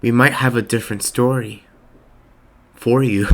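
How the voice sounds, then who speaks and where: A man with a slightly raspy voice giving a slow, reflective spiritual monologue with many pauses. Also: medium pitch, fairly even intonation. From Palestinian heritage. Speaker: spiritual reader.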